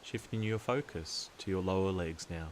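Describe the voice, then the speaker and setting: A man narrating a guided meditation in a calm, soothing, deliberate way, leaving long pauses. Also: deep voice, a little hiss or hum.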